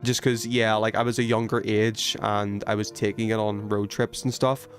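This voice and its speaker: A man's voice: lowish voice, flat delivery, moderate pace, soft background music. A man in his twenties chatting casually on a gaming livestream, rambling informally and talking to himself as he plays. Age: 20s. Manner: rambling, informal, self-talking while playing. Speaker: video game streamer.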